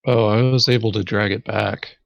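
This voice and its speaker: A man's voice: lowish voice, little pitch movement, normal speed, vocal fry, highs cut off. A man chatting casually as a fellow player in a game. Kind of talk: casual gaming chat.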